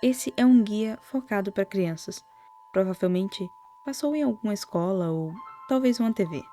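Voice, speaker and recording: Brazilian female narrator, dark mellow tone, soft-spoken and wry, scripted horror commentary over faint music. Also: medium pitch, normal speed. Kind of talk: whispered-style scripted narration, storytelling commentary.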